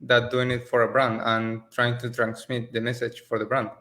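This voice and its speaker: A man from Spain, a native Spanish speaker in his late twenties, talking relaxed and casual as a guest on a video call, with loose phrasing and restarts. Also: medium pitch, normal speed. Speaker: agency owner, guest. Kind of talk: casual interview over a call. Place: rented office in Mexico.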